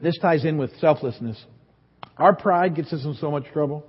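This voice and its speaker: A man's voice: on the high side, moderate pace, husky. A man in his fifties preaching a sermon, telling a story about himself with self-deprecating humor. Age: 50s. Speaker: pastor. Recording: dull sound in a reverberant hall.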